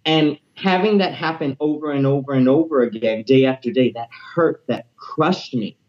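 A man in his late twenties with a high tenor voice, telling his personal story as an interview guest in an animated, energetic way. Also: normal speed, dull audio. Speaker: interview guest, teacher and music minister.

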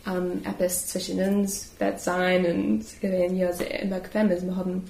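A hesitant woman with a fairly low, very level voice restarts her sentences often in a group discussion. Her voice carries a clear echo from the room around her. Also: little pitch movement, moderate pace, a little mellow. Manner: hesitant, many fillers and restarts.

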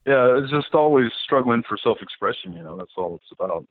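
A man's voice: mid-range voice, lively intonation, fairly quick, dry recording. A man, a guest artist, talking casually and rambling with many fillers and restarts. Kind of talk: casual interview talk. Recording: tinny phone-like line.

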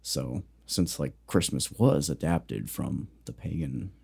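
A man with a very low, mellow voice chats casually as a co-host. He rambles through facts with lots of ums and restarts, keeping a jokey tone. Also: big pitch swings, takes it slowly, creaky, slightly rough. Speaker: podcast co-host. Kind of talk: casual chat.

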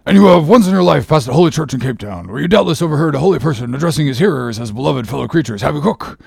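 Bossy, teasing male ship's officer, performed by a man reading a storybook, very lively and husky. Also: on the high side, rapid-fire, no echo, clean studio sound, pitched above the performer's own voice, exaggerated voice. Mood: bossy, needling. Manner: animated, needling, mock-solemn interrogation. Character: bossy, mocking sailor officer lecturing his cook. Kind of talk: audiobook character reading.

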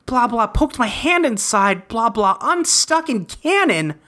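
A woman's voice: a little high, big pitch swings, unhurried, a bit bright. A woman voicing an earnest, rambling teenage boy in a webcomic dub, breezily recounting his adventures. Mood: excited recital. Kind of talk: character dub reading of a webcomic.

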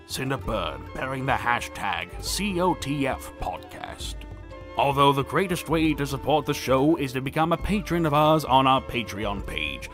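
A man plays a grandiose theatrical herald with an extremely animated, swooping delivery. He declaims scripted announcements in an old-fashioned manner, with music clearly playing behind him. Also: mid-range voice. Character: theatrical male herald announcing a play in archaic flourishes.

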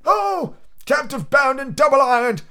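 High-pitched wailing old ghost, chained, tormented, slow and loud, storybook reading. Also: male voice. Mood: anguished. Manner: wailing, mournful, drawn-out lament. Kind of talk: audiobook character reading.

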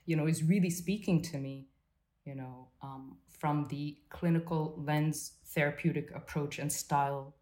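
A woman psychotherapist talks through her view in a halting, reflective way, full of restarts and fillers. Her voice sits low and sounds mellow. The effect is a thoughtful guest working out her ideas as she speaks. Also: fairly even intonation. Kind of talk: reflective conversational interview.

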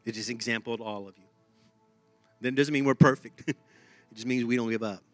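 A man's voice: mid-range voice, steady tone, brisk, fairly bright tone, dry recording, faint music behind. A man with a Texan accent preaching a sermon in an emphatic, conversational way, often repeating his phrases. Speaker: pastor.

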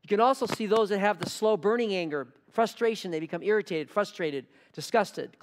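An earnest male pastor preaches, leaning on key words and repeating them for emphasis. His voice sits a little high and trails a long reverb through a church hall. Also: a little fast, a little hiss or hum. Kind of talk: sermon.

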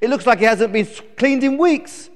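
A man's voice: extremely high pitch, normal speed, voice pushed up. A man voicing a bossy, ungrateful newborn eagle chick in a live children's story, complaining and scolding. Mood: indignant complaining. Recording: echoey hall with long reverb.

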